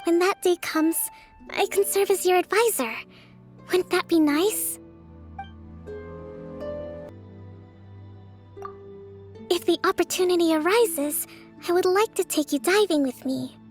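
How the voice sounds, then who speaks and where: A woman voicing a gentle, introverted young priestess and strategist in a video game, soft-spoken, formal and thoughtful. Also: very high-pitched, normal speed, faint music behind. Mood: gentle, wistful. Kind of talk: video game character voice acting.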